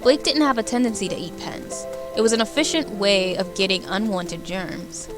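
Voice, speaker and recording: A woman's voice: medium pitch, moderate pace. A woman livestreaming a game, chatting informally and playfully and reading a visual novel aloud. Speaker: game streamer. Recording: music playing behind the voice. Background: background music.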